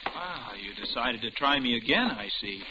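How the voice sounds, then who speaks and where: An actor playing a young, reserved diner counterman, terse and flat with faint menace, in 1940s radio drama style. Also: medium pitch, moderate pace, a crowd in the background, close to an everyday voice. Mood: guarded. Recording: muffled, very noisy old radio recording.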